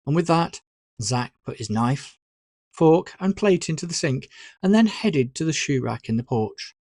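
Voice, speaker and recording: Expressive storybook narrator with a dry, close sound. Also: medium pitch, a little slow, dry recording. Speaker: author narrating own audiobook. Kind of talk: scripted audiobook narration.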